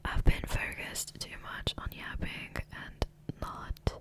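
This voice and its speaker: A woman whispering softly and breathily into a close microphone in a rambling, hesitant ASMR gameplay commentary, often trailing off. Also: takes it slowly. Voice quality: soft, breathy unvoiced whisper. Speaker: ASMR gaming creator. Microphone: close USB condenser microphone.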